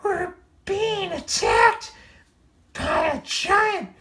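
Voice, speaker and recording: Ornery cartoon cats: extremely high, shrill, melodramatic panic. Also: lively intonation, drawn-out words, sharp tone, heavily acted. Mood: panicked.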